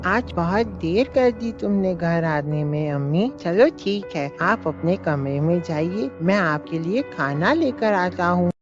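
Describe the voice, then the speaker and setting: An earnest young brother, acted by a woman with a low, very unhurried voice. He sounds solemn and caring, like a storybook character. The sound is dull, with music behind. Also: expressive. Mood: concerned.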